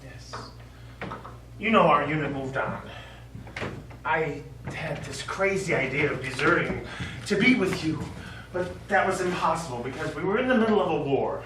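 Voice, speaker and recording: Guy acting a sorry lovelorn middle-aged army vet in a play, pleading n halting, echoey, bit of noise. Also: on the high side, lively intonation, lightly acted. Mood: sorrowful. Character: remorseful, lovelorn middle-aged American war veteran. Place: small theatre stage. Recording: echoey theatre stage, distant mic, faint noise.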